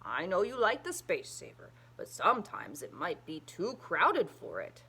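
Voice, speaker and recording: A woman voicing a patient, instructive father in a children's storybook, explaining in a measured way with drawn-out emphasis. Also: on the low side, huge pitch swings, gravelly, bright, forceful tone, husky, full character voice.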